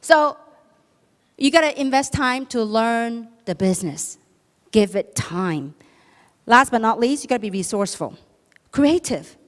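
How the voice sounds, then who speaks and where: Female seminar speaker, Chinese accent, high voice, emphatic question-and-answer delivery, reverberant hall. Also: animated, measured pace, long pauses. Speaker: motivational business speaker. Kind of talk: motivational sales seminar talk to an audience. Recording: echoey hall, long reverb.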